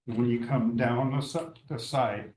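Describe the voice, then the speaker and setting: A man's voice: mid-range voice, steady tone, a little mellow. An architect in his eighties with a scratchy voice, presenting plans step by step at a public hearing. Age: 80s. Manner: plain, measured, walks through details step by step. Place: town meeting room. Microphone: handheld microphone. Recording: echoey meeting room.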